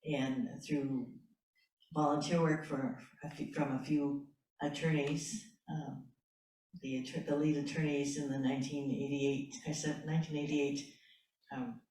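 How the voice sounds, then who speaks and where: A woman's voice: sits low, little pitch movement, a little mellow. A woman panelist earnestly telling how her family's heirlooms were recovered, often repeating herself. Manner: earnest, halting, repeats words. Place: large lecture hall. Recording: distant voice in a very echoey hall.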